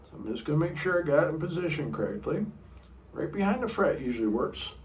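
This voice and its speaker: A middle-aged man with a low baritone voice teaching a casual guitar lesson, chatty and rambling, sometimes singing short notes. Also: big pitch swings, fast. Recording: room mic a bit far off, guitar playing.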